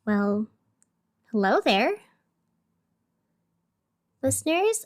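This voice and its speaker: An actress playing a wry, wounded young radio host in a scripted audio drama, sardonic and theatrical with deliberate pauses. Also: female voice, high-pitched. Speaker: fictional radio show host.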